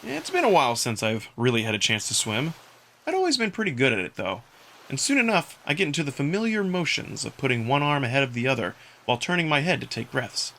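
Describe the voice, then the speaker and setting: Animated, bright-voiced male story narrator. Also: mid-range voice, fast talker. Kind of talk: dramatic first-person visual novel narration.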